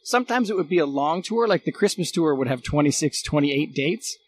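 Male interview guest, slightly high voice, earnest, rephrasing speaker, light noise behind. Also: lively intonation, a little fast. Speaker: guest, former radio producer. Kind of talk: casual reminiscing conversation.

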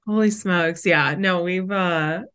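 A woman's voice: lowish voice, slow, a bit bright, smooth. A bubbly, talkative woman, chatting as a podcast guest and filling her sentences with "like.". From Wisconsin, USA. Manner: bubbly, chatty, lots of "like" fillers. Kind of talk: casual interview chat.

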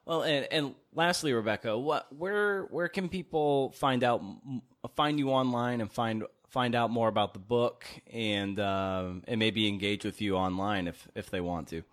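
A man's voice: mid-range voice, measured pace. A man hosting a podcast, talking casually to his guest and listeners. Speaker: podcast host. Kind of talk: casual podcast interview and sign-off.

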